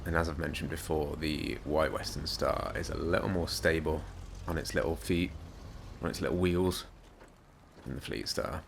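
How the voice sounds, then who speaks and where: A man with a slightly croaky voice, giving rambling, self-mocking gameplay commentary. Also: extremely low pitch, normal speed, background noise. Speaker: gaming YouTuber.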